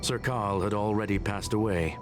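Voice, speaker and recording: A guy voicing a calm low male game character, polite and bookish, formal exposition, music under it. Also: normal speed, close to an everyday voice. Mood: matter-of-fact. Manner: formal, matter-of-fact. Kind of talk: scripted video game exposition.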